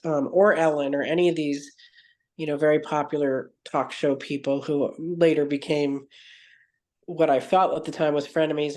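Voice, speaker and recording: A woman's voice: low-pitched, a little mellow. A woman guest on a podcast, telling her story at length in an earnest, digressive way and often correcting herself mid-sentence. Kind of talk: conversational interview storytelling.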